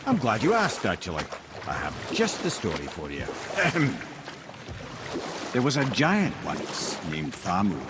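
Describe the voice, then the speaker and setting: A man's voice: lowish voice, huge pitch swings, unhurried, very noisy. A man voicing a wry, talkative lore-keeper in a video game, telling legends theatrically. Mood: eager, wry.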